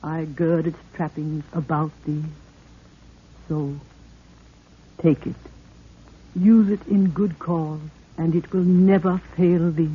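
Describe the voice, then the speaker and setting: Proud, husky queen on tinny old radio. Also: low voice, fairly even intonation, slow, pauses often, heavily acted. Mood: grave blessing. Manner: stately, formal archaic speech. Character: regal, archaic-spoken queen, solemn and proud. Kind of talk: 1930s radio acting. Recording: tinny, band-limited 1930s radio recording.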